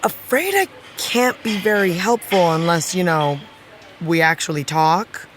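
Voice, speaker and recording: A frank, supportive friend with a dry, teasing streak. She takes her time over her words in a natural audio drama style, in a voice a little on the low side, with faint noise behind it. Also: lively intonation, unhurried. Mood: probing, dry. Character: wry, candid actress, supportive friend and colleague.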